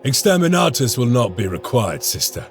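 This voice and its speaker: A gravelly marine lieutenant. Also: male voice, mid-range voice, very animated, faint music behind. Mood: resolute. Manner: measured, resolute. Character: grave male space marine lieutenant, steadfast. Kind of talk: video game cutscene voice acting.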